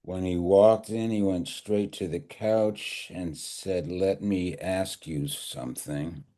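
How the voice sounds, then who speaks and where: A man's voice: low-pitched, fairly even intonation, slow pace, warm tone. A man playing an aging, devoted father who grieves but reassures, in a live screenplay table read. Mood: searching, musing. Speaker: actor reading a script.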